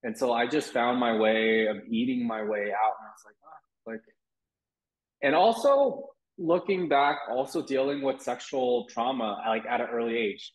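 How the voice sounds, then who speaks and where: A man's voice: medium pitch, moderate pace. Candid man with Mandarin as first language. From Taiwan. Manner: candid, reflective, many restarts and "like". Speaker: wellness guest. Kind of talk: interview conversation. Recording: roomy echo.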